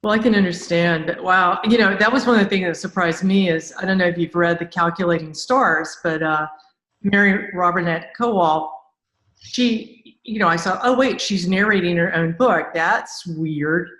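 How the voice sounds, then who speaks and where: Low-voiced chatty woman in an echoey room. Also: moderate pace, fairly bright tone. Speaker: podcast host, interviewer. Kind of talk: casual conversational interview.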